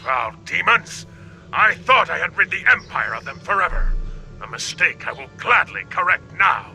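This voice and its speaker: Gravelly, forceful alien warrior emperor. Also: male voice, very animated, normal speed, soft background music, exaggerated voice, poor audio. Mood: wrathful. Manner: booming, theatrical, declamatory. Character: proud battle-hungry Klingon emperor, alien warrior leader. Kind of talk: video game voice acting.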